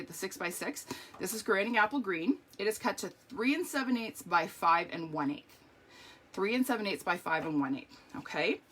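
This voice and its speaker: A middle-aged woman with a strained, hoarse voice, chatting through a live card-making tutorial with lots of ums and okays. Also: mid-range voice, pushed and bright.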